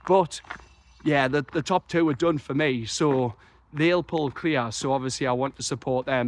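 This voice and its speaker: A young man, croaky after a chest infection, talking passionately and rambling through a football match reaction. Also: on the high side. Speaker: football fan vlogger. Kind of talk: excited solo match reaction.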